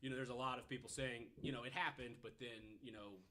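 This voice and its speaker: A man's voice: medium pitch, fairly even intonation, fast talker, sharp tone. A man on a podcast panel telling a story casually, hedging often with "you know" as he explains.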